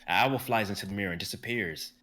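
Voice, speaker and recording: A young man narrating stage directions in a live script reading, brisk and vivid, with the odd stumble. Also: lowish voice, a bit bright. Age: young adult.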